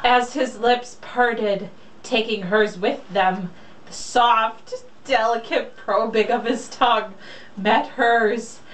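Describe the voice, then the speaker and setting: High-voiced young woman, unhurried, in an echoey room. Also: a bit bright. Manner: mocking, irreverent. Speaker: reader. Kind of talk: casual read-aloud of a romance novel with joking asides. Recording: echoey room, distant mic, faint noise.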